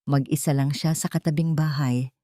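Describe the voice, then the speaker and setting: A woman voicing a wealthy male employer who speaks in a casual, measured, probing way and is quietly suspicious. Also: sits low, fairly even intonation, dry recording, studio quality, lightly acted. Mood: calculated calm.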